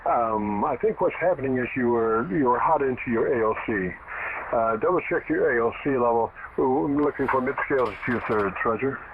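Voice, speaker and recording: A man's voice: mid-range voice, fairly quick. A man heard over shortwave radio, an amateur operator giving folksy, explanatory advice in a casual technical chat. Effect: radio transmission. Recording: narrow, very noisy shortwave radio signal.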